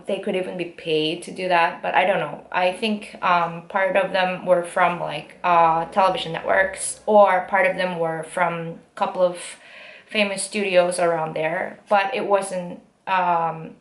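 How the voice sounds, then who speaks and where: A young woman with a Korean accent and American-style English, telling a story casually with many fillers and pauses. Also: on the low side, steady tone. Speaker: aspiring actress. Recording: echoey room.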